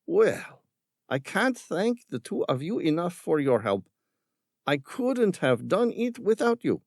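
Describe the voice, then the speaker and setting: A man's voice: sits high, big pitch swings, slow, a little mellow, no echo, clean studio sound, voice pushed up, heavily acted. A man voicing a greedy, sarcastic male villain in an audiobook, with a patronizing, mocking manner and an affected Spanish-tinged accent. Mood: falsely polite. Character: sneering, greedy male villain caretaker with gun. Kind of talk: audiobook character voice.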